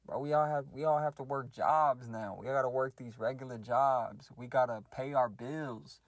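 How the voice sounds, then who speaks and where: A man's voice: medium pitch, normal speed, no room sound. A man in his twenties talking loosely and reflectively in a casual solo rant, full of slang. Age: 20s. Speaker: podcast host ranting.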